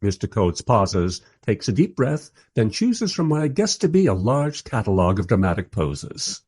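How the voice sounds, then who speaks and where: An actor playing a bemused, wry filmmaker who narrates and interviews in a scripted radio drama. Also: male voice, fairly low pitch, very animated, normal speed, darkish tone, breathy edge. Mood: wry, narrating.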